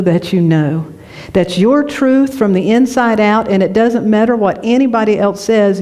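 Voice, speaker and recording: Laughing woman preaching. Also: on the high side. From North Carolina. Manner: lively, laughing, conversational preaching. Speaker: minister. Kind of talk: sermon with storytelling. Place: church sanctuary.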